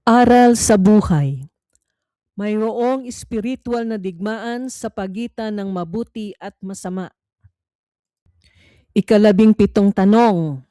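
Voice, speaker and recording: A Filipino woman narrating a scripted read-along Bible quiz in a measured, deliberate way with pauses between phrases. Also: medium pitch, dark tone, no echo, studio quality.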